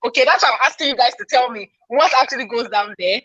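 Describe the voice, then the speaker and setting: Husky Nigerian woman hosting a chat. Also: on the high side, quick pace, sharp tone, no room sound, muffled recording. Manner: chatty, reads out viewer comments, exclaims. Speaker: podcast host. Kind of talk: casual live podcast chat.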